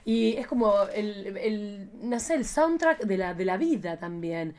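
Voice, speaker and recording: A woman's voice: medium pitch, animated, unhurried, darkish tone. A woman with an Argentine Spanish accent hosting a casual, playful chat, often exclaiming and interjecting. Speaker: podcast host.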